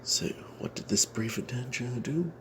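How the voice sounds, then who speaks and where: A man telling an off-the-cuff fantasy game story in ASMR style, breathy and half-whispered, halting, with playful old-fashioned flourishes. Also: medium pitch, lively intonation, background noise. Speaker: storyteller narrating a tabletop game tale.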